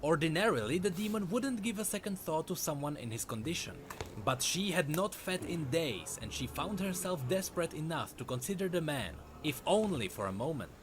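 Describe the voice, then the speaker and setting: Animated male story narrator, higher-pitched voice. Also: a little fast, background noise. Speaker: lore narrator. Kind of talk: scripted dramatic story narration.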